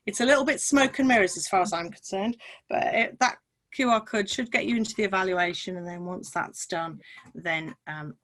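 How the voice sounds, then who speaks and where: Woman webinar host, middle pitch, relaxed and wry, casual asides. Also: a little fast. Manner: wry, informal, self-deprecating. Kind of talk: online teaching chat. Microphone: video call.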